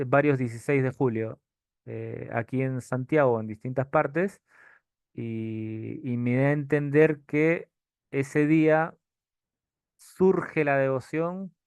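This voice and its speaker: A man's voice: medium pitch, a little mellow, clear, resonant tone, dry recording. A man with a non-Chilean Spanish accent giving an informal history lecture and answering questions, hesitant as he searches for his words. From outside Chile.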